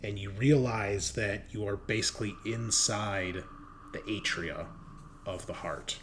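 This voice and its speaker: A man improvising narration as game master of a horror tabletop game, halting with drawn-out pauses as he describes scenes. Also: on the low side, a bit bright, a little hiss or hum.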